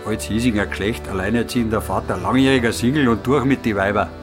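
A man's voice: fairly low pitch, fairly even intonation, quick pace, music in the background, close to an everyday voice. An actor playing a solemn, self-important village man with a Bavarian-Austrian accent, speaking ponderously in a radio drama. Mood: solemn, worried. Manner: ponderous, ceremonial, circumlocutory.

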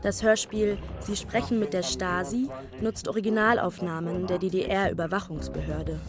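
A woman reading a scripted radio feature narration in a measured, polished way over faint music. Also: fairly low pitch, steady tone.

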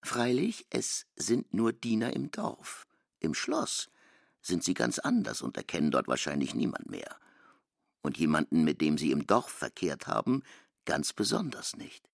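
Very deep, gravelly male narrator. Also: huge pitch swings, a little slow, dry recording, clean studio sound, full character voice. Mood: solemn recounting. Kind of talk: literary radio drama narration.

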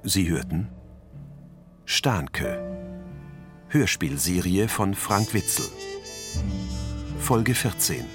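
A man's voice: low-pitched, expressive, extremely slow, pauses often, music in the background. A man reading scripted radio drama credits as an announcer.

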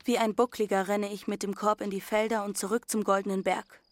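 A woman's voice: mid-range voice, little pitch movement, brisk, no echo, natural voice. A woman playing a defiant teenage runaway girl who narrates her journey matter-of-factly in an audio drama. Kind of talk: first-person literary narration in audio drama.

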